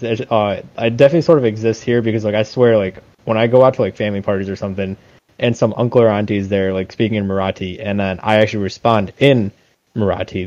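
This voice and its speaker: Man, 20s, from India; low-pitched, rambly casual talker; slightly muffled recording. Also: a little mellow. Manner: rambling, heavy on fillers and self-corrections. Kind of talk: casual podcast chat.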